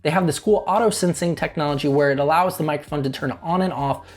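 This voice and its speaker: A man's voice: fast, soft background music. Chatty young man reviewing gear. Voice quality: fairly high voice. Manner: chatty, joking asides to viewers. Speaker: tech reviewer, channel host. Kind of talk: casual product review to camera.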